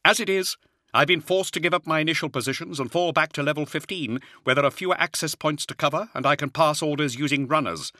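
A man voicing a wiry male army colonel who reports in a loud, strained voice. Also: a little high, quick pace, dry recording, clean studio sound, voice pushed up. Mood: urgent. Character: urgent male soldier colonel, wiry, shouting.

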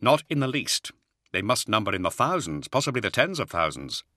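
A man's voice: on the low side, sing-song, a little fast, sharp tone, dry recording, studio quality. A man playing an earnest, cautious psychic warrior in an audiobook, grave and careful in speech. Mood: grim. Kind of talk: audiobook character acting.

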